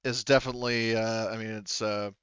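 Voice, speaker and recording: A man's voice: on the low side, level tone, a little fast, a bit bright, clear, resonant tone, no echo, highs cut off. A man casually game mastering a tabletop RPG in a hesitant, conversational, wordy way.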